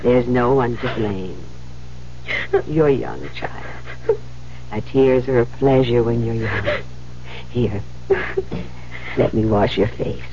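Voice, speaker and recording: A woman's voice: extremely low pitch, slow, pauses often, dark tone, full character voice. An actress playing a kindly, motherly older nun in a 1970s radio drama, fussing and cajoling with affection. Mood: gentle, hushed. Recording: dull, noisy 1970s radio recording.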